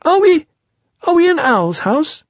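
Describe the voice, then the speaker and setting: A man voicing a very small, timid male piglet in a storybook, nervous, earnest and squeaky. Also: very animated, unhurried, no room sound, highs cut off, exaggerated voice. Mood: nervous, frightened.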